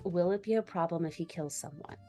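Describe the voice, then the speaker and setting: A woman's voice: lowish voice, a little fast, warm tone, faint music behind. A woman playing a tabletop role-playing game, chatting casually in and out of character in a playful way.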